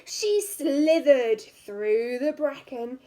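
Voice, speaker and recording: A woman teacher reading a picture book aloud to young children in an animated way, drawing out words and dropping into hushed asides. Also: high-pitched. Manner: animated, drawn-out storytelling with hushed asides and questions to listeners. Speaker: primary school teacher.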